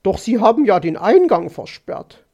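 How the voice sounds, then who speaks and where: A man voicing a fussy, easily startled female magpie in a storybook, with excitable, exclaiming delivery. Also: sits high, moderate pace, creaky, heavily acted. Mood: concerned, surprised.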